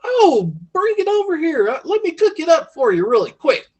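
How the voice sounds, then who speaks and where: A man's voice: extremely high pitch, sing-song, moderate pace, a little mellow, dull audio, pitched above the performer's own voice. A man voicing a matter-of-fact farm wife in a folksy tale, with a drawling manner. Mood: eager.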